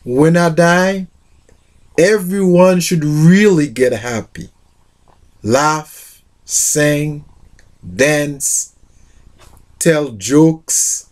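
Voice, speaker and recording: A middle-aged man who introduces a poetry collection and reads a poem aloud, speaking haltingly with many fillers. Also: on the high side. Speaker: literature teacher.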